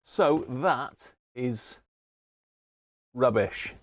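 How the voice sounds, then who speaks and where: A man's voice: medium pitch, extremely slow, slight background noise. A man hosting a casual live repair stream, chatting and thinking aloud as he works at an electronics bench. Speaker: host of an electronics repair livestream. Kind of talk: casual live workbench commentary. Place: indoors at an electronics workbench.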